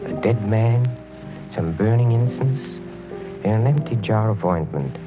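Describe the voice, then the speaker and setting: An actor playing a courtly, sinister middle-aged count in a 1940s radio mystery, speaking with measured, suave menace. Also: male voice, fairly low pitch, expressive, over loud music. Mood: ominous storytelling. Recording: muffled 1940s radio recording.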